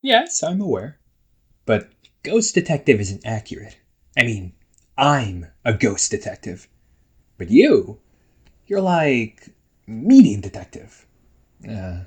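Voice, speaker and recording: A man's voice: medium pitch, huge pitch swings, slow pace, long pauses, husky, heavily acted. A man playing a playful, nagging young ghost brother in a casual roleplay audio drama, teasing and chatty. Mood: bantering. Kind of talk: casual one-sided roleplay audio acting.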